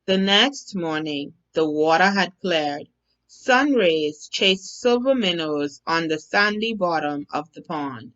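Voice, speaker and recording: A woman narrating a children's bedtime story as a storyteller, deliberate and expressive, on a slightly dull recording. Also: fairly low pitch. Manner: measured, deliberate, expressive reading. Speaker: storyteller, teacher.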